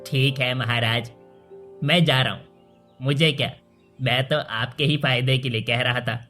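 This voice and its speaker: Man playing a bright-voiced scheming royal minister. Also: medium pitch, steady tone, measured pace. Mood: servile, grumbling. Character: scheming greedy royal minister, male, sly and deferential. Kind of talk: Hindi cartoon story acting. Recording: music under the voice.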